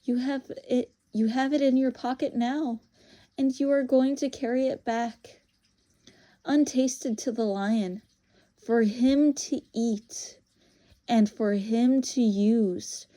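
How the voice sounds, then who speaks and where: A woman's voice: fairly high pitch, fairly even intonation, takes it slowly, mellow. A woman voicing a proud, tempting evil witch in a storybook, commanding, scornful and grandly formal. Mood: knowing, insistent.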